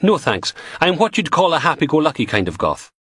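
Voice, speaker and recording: A man's voice: a little high, very animated, fairly quick, a bit bright, breathy edge, dry recording, clean studio sound, voice pushed up, exaggerated voice. A man voicing a cheeky, teasing young goth woman who works in forensics. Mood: wry.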